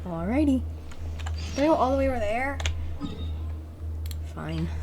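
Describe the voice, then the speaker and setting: A woman chats through a video game in a mellow, mid-pitched voice. She thinks aloud informally and repeats herself, with faint noise and ambience behind her. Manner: repetitive, informal rambling. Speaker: gameplay streamer. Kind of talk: casual gameplay commentary, thinking aloud.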